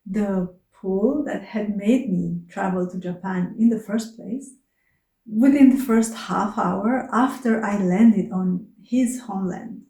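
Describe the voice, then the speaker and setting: A woman whose first language is Romanian, narrating her travels in a soft, earnest, contemplative way. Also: medium pitch, slow, echoey room, low-quality recording. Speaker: travel vlogger.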